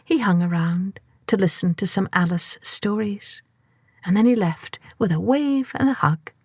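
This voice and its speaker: A woman narrating a children's story expressively, with deliberate, dramatic phrasing. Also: medium pitch, a little mellow, breathy edge, dry recording, clean studio sound.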